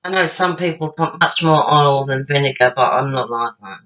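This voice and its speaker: Tired, husky older Englishwoman cooking. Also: very deep voice, normal speed, fairly bright tone. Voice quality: slightly husky. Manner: chatty, rambling, talks to herself while working. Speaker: home cook. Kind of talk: casual cooking demonstration.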